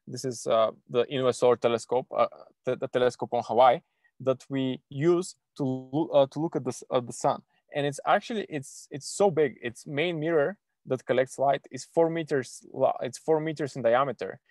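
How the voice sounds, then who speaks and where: A man's voice: mid-range voice, no echo, studio quality. A young man from Bulgaria, a PhD student in astrophysics, giving an informal online science lesson to kids, full of restarts and fillers. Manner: halting, many restarts and fillers, eager.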